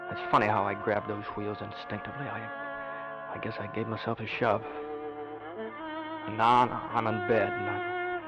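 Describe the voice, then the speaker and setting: Brooding American veteran, played by a man, over loud music. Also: fairly low pitch, natural voice. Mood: secretive. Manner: halting, repetitive, confessional inner monologue. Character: paralyzed war veteran, intense, brooding, secretly plotting revenge. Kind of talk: old-time radio drama first-person narration. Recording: dull old radio recording, loud music behind.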